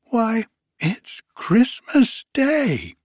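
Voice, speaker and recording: A man voicing a young street boy who gives bright, wondering replies in a raised voice. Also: very high voice, huge pitch swings, drawn-out words, no room sound, studio quality, voice pushed up, heavily acted.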